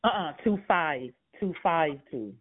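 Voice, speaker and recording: A man's voice: on the high side, expressive, a little slow, breathy edge. A man in his sixties, a homeowner on a phone call, talking hesitantly with many fillers and short laughs. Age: 60s. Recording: tinny phone line.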